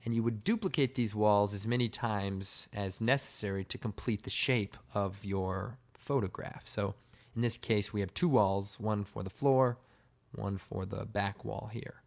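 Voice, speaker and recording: A man with a slightly hoarse, raspy voice giving a casual, informal software tutorial, explaining step by step. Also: on the low side, a little slow. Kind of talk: casual screen-recorded tutorial narration.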